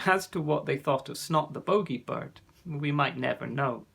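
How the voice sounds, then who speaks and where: A man narrating a children's fantasy audiobook in a gentle storytelling manner. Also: on the high side, moderate pace, gravelly, slightly rough.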